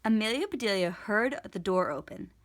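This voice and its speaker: A woman reading a storybook aloud for children in an expressive, deliberate storytelling manner. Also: mid-range voice. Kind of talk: scripted storybook read-aloud for kids.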